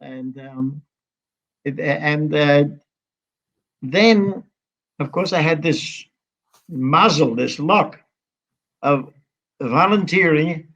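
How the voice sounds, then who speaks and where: A man in his nineties talks in a rambling, halting way, breaking off and restarting his sentences as he reminisces. His voice sits a little high for a man, and the audio is muffled and lo-fi. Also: fairly bright tone. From United States. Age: 90s. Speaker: interview guest. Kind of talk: conversational reminiscing.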